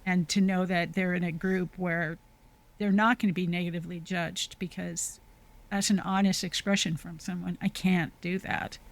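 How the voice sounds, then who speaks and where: A woman's voice: lowish voice, little pitch movement, normal speed. A woman hosting a podcast, speaking earnestly and reflectively in a casual conversation over a video call. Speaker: podcast host.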